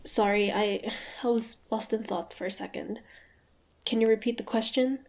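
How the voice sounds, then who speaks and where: A woman's voice: a little high, fairly even intonation, moderate pace, darkish tone, lightly acted. A woman playing a proud, defiant monster hunter in a dramatic fantasy audio roleplay. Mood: wary, puzzled. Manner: haughty, taunting, dramatic. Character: proud, defiant young female monster hunter, grudgingly curious.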